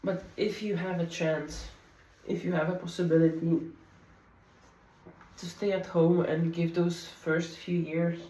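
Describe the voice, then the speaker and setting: A woman in her thirties whose first language is Polish, speaking in a soft, candid, confiding way in a personal diary vlog at home. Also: on the low side, level tone, unhurried, echoey room, distant mic, slight background noise, low-quality recording. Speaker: vlogger. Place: home, at night.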